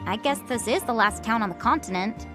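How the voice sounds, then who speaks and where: A woman's voice: a little high, fairly quick, music in the background, close to an everyday voice. A woman voicing a practical, level-headed and determined teenage heroine in a video game. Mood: resolute. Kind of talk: video game cutscene acting.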